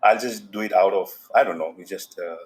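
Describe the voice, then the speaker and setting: A man's voice: low-pitched, a little fast. A man from Fiji, a podcast guest, talking casually and rambling with lots of "you know" fillers. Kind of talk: casual interview talk.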